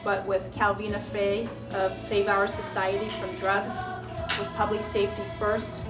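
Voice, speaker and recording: A woman's voice: medium pitch, level tone, moderate pace. A woman from Switzerland giving an earnest, emphatic public speech as an anti-drug activist. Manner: earnest, emphatic personal testimony. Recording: echoey room, distant mic, music behind.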